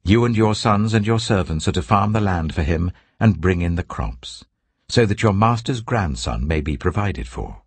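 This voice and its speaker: A British man voicing a commanding, kindly ancient king in a dramatized scripture reading, speaking in a stately, deliberate way. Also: sits low, expressive, slightly rough, no echo, exaggerated voice. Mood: regal, benevolent.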